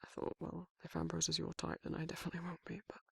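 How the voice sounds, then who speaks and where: A performer voicing a tender, protective male werewolf lover in a soft, breathy, often whispered romantic ASMR roleplay. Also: medium pitch, monotone, fast, no echo, studio quality, heavily acted. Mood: shy, hesitant. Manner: soft, hesitant, affectionate murmuring.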